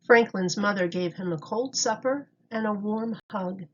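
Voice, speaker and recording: A woman's voice: mid-range voice, unhurried, slight background noise. A woman teacher reading a picture book aloud to young children in storytime style. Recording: dull lo-fi recording.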